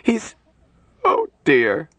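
Man doing a high, distraught young nurse. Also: wildly swooping pitch, a bit bright, no echo, exaggerated voice. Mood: shrill, tearful. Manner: pleading, halting, shrill when upset. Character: young woman nurse, distraught, timid but insistent.

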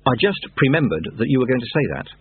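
A man voicing a quick-witted young apprentice in an audiobook story, respectful, plain-spoken and matter-of-fact. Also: medium pitch, big pitch swings, husky, no echo, narrow, tinny audio, voice pushed up.

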